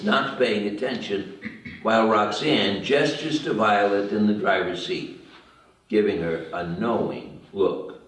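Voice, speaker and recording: A man's voice: on the low side, slight background noise. A man reading stage directions as the narrator of a screenplay table read. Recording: very echoey room, distant mic.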